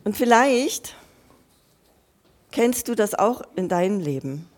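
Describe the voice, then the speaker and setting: A woman's voice: mid-range voice, expressive, slow, a little mellow. A woman giving a sermon, earnest and personal, speaking straight to her listeners and often restarting or repeating her phrases as she thinks aloud. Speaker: preacher.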